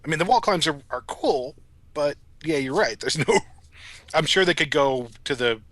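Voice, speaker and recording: A man's voice: a little high, lively intonation, normal speed, fairly bright tone, no room sound. A man co-hosting a casual podcast discussion in a wry, joking way. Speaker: podcast co-host. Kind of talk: casual humorous episode discussion.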